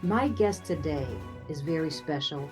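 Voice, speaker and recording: A woman's voice: low voice, animated, unhurried. Lady hosting an interview show, encouraging, faint music underneath. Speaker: show host. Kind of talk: hosted interview.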